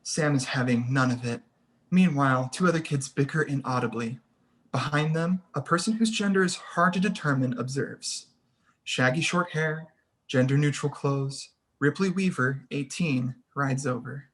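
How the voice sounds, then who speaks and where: A woman's voice: very deep voice, normal speed. A woman reading screenplay stage directions as the narrator of a table read.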